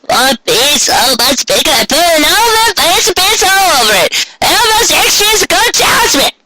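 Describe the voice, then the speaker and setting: A man voicing a feisty, blunt, elderly country granny pony in a strained high falsetto, with folksy rural phrasing, in a storybook reading. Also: very animated, normal speed, very noisy. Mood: suspicious, indignant. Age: older. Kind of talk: amateur storybook character reading.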